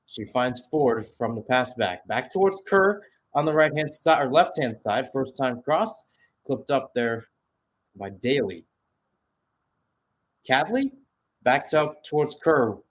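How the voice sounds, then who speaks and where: A man works as a play-by-play commentator on a live soccer match. His voice sits at an ordinary male pitch. He describes the play in short calls and fills the gaps with conversational asides. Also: very animated, takes it slowly, pauses often.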